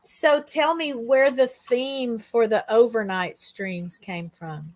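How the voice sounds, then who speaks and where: Woman, interviewer, casual chat, somewhat high pitch. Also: takes it slowly. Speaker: interviewer, show host.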